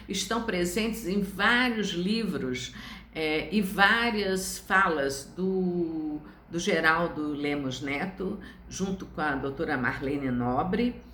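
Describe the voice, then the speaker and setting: Woman, 70s, Brazilian accent. Unhurried, didactic lecturer, medium pitch, roomy echo. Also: a bit bright, a little hiss or hum. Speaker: spiritist study lecturer. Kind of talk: informal religious lecture to online viewers. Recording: echoey room.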